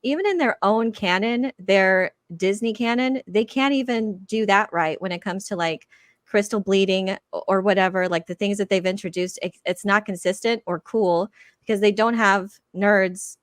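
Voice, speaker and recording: A woman's voice: mid-range voice, moderate pace, dry recording, studio quality. A woman in casual, critical fan chat with a sarcastic way of talking. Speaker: show panelist.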